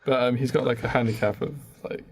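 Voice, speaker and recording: A man co-hosting a podcast, improvising a story casually and haltingly as he hunts for ideas, on a slightly noisy recording. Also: mid-range voice, steady tone. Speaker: podcast co-host.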